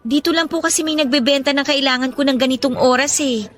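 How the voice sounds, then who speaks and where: A woman's voice: sits high, quick pace, a bit bright. A woman with a Filipino accent playing a worried, inquisitive schoolgirl daughter in a radio drama, respectful but insistent. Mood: surprised, curious. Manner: insistent, questioning. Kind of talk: Tagalog radio drama acting.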